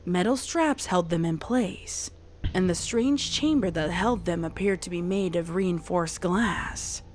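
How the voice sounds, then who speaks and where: A woman's voice: lowish voice, a little slow, darkish tone, a little hiss or hum. A woman reading a dramatic scripted story as its narrator. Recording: music behind the voice.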